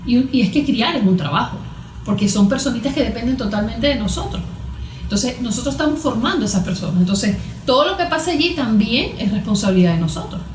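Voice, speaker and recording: Woman, somewhat high voice, emphatic explanatory interview delivery, echoey room. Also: expressive, fast. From Venezuela. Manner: emphatic, explanatory, poses rhetorical questions. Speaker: guest therapist. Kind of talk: conversational interview answers on parenting. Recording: roomy, echoing sound.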